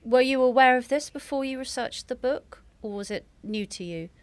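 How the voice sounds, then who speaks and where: A woman's voice: high voice, moderate pace. A woman interviewing a guest with considered, conversational questions.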